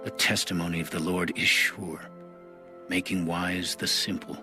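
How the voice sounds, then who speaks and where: A man giving a solemn, formal and measured reading of scripture as an audio Bible narrator. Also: low voice, little pitch movement, music in the background.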